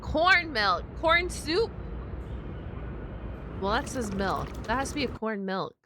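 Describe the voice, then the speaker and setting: Girl streamer chatting through a reaction, kinda high voice, thinking out loud, clear noise behind her. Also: sing-song, takes it slowly. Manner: informal, exclamatory, thinking aloud. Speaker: reaction video streamer and host. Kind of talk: casual chatty live reaction commentary.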